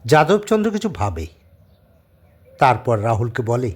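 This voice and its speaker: A man with a husky voice reading scripted dramatic narration as the storyteller of an audio story. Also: mid-range voice, very animated, unhurried.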